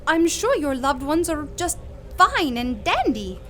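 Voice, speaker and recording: A high, bright and lively voice of a quirky lady naturalist, played by a woman. She rambles cheerfully and blurts out strange remarks as if they were ordinary, swinging her pitch widely as she goes. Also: measured pace, a little hiss or hum, exaggerated voice. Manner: chirpy, rambling, says odd things matter-of-factly. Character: eccentric cheerful woman naturalist, odd and blunt. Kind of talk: audio drama acting.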